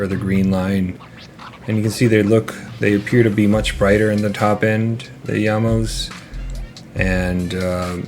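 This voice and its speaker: A man giving a casual, unscripted gear review as channel host, halting and rambling, often repeating his words. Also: sits low, a little mellow. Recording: roomy echo, distant mic, faint music.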